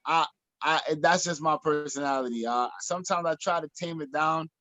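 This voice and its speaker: A man, telling his story as a guest in an interview, animated, energetic, with many restarts. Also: fairly high pitch, moderate pace, dry recording. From Jamaica. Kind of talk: video call interview. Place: small room in front of a printed backdrop. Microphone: laptop or webcam on video call.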